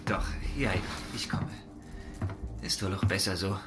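A man's voice: deep voice, steady tone, measured pace, over loud music. An actor playing a reticent man with a troubled past in a fantasy audio drama, hesitant and evasive. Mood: reluctant.

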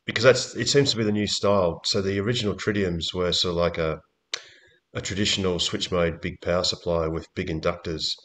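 Aussie guy with a low voice, chatting on a panel podcast, explains techy stuff. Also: fairly even intonation, brisk, a bit bright. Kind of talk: casual group chat.

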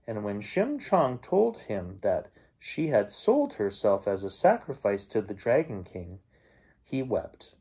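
A middle-aged man reads a children's bedtime story aloud as its host, with expressive storytelling. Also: lowish voice, slow, husky. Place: small bedroom at home, indoors.

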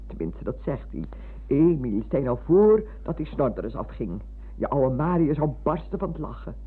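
A woman playing a chatty, motherly, bossy old schoolmistress in a radio drama, with a husky voice and a habit of repeating herself. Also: deep voice. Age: older. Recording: muffled, narrow-band old radio recording.

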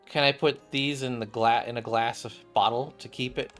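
A man's voice: medium pitch, steady tone, normal speed, fairly bright tone. A man in his thirties streaming a game and reading its dialogue aloud in a room at home. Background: game music, keyboard typing.

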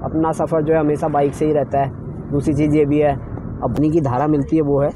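A man's voice: fairly high pitch, level tone, fairly quick, noisy background. A young man chatting casually to his viewers while filming a road trip vlog on a phone held at arm's length, feeling a little queasy. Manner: chatty, addresses viewers as friends. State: queasy from travel sickness. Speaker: vlogger. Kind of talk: casual travel vlog narration. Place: in a car on mountain roads, then outdoors at a busy temple.

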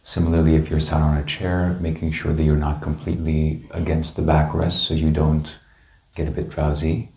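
A man leading a guided meditation, giving calm, gentle, unhurried instructions with long pauses. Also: rumbling low. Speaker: meditation teacher. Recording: roomy, echoing indoor sound.

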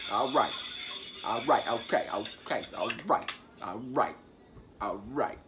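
A man's voice: on the low side, lively intonation, takes it slowly, background noise. A young man making casual prank calls, playfully repeating his phrases. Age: young adult. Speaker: prank caller. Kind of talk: casual prank-call banter. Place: small bedroom, indoors. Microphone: camera a short distance away.

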